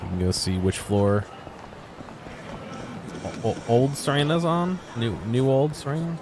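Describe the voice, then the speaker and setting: A man's voice: medium pitch, very animated, unhurried, background noise. A man giving casual gameplay commentary as a streamer, musing aloud and often questioning himself. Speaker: video game streamer.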